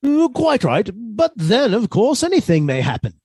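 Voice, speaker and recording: A man playing a hesitant, submissive professor husband with a quiet menace, stammering his way through a radio suspense drama. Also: high voice, wildly swooping pitch, moderate pace, slightly rough, no room sound, clean studio sound, full character voice. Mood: evasive. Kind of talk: theatrical radio suspense acting.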